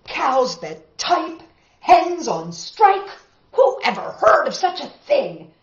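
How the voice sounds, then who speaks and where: A woman voicing a furious, incredulous male farmer from a children's storybook, with emphatic, drawn-out exclamations. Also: sits high, very animated, echoey room, muffled recording, voice pushed up, heavily acted. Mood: furious disbelief. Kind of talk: children's storybook read-aloud.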